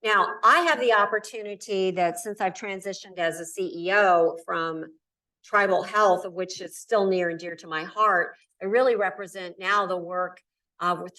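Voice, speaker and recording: A woman's voice: on the low side, pushed and bright. Woman lecturing, heard from afar. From Nebraska. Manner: emphatic, repeats key words in lists. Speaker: keynote speaker. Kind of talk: conference talk. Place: lecture hall. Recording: voice from far off the mic.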